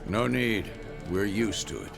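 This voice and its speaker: Old easygoing monster hunter for a game, low voice, wry, music behind. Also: male voice, big pitch swings, a little slow, gravelly, heavily acted. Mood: wry, calm. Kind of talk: video game cinematic acting.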